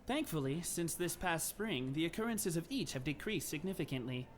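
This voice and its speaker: Anime fandub schoolboy , male actor: slightly high voice, polite, formal, composed, faint noise. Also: animated, natural voice. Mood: calm, pleased. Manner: courteous, measured, formal.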